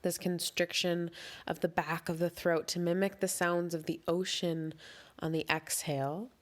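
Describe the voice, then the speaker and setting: A woman leading a guided breathing meditation as an instructor, speaking in a gentle, soothing, instructional way. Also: lowish voice, flat delivery, moderate pace.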